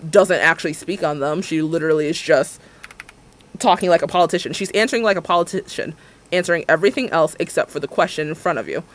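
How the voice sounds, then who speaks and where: A man's voice: a little high, quick pace, a bit bright, a little hiss or hum. A young man in his twenties ranting casually on a livestream, sarcastically mimicking other people's words. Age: 20s. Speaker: streamer. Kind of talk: casual livestream chat while building in a game.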